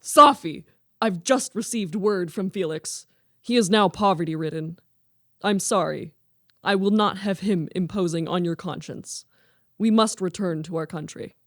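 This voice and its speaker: Woman playing a proud merchant father, low female pitch. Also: unhurried, no room sound, studio quality. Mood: stern, commanding. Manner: formal, emphatic. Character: proud, commanding merchant father of a grown daughter. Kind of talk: radio play acting.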